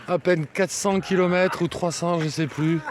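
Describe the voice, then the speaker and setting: A man's voice: high voice, fairly even intonation, moderate pace. Male hiking vlogger, teasing and playful, wind-battered outdoor mic. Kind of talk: joking travel vlog to camera. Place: outdoors on a coastal pilgrimage trail.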